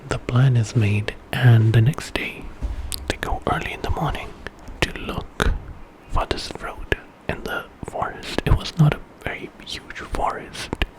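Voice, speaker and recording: A man's voice: medium pitch, lively intonation, unhurried, rough recording. A man telling a story in whispered ASMR style, retelling it informally with colloquial phrasing and small self-corrections.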